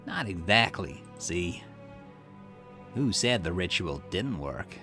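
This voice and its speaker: A man's voice: deep voice, very animated, takes it slowly, music in the background, heavily acted. A man reading game dialogue aloud, voicing a bitter, obsessive grieving man who snarls defensively and builds to outbursts. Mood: brooding.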